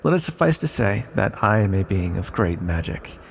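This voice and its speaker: A man playing an ancient exiled villain, a weary, teasing magical being, musing slowly and wryly in an ASMR roleplay. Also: on the low side, sing-song, vocal fry. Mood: grave, confessing. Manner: slow, musing, wry, theatrical. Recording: long cavernous reverb.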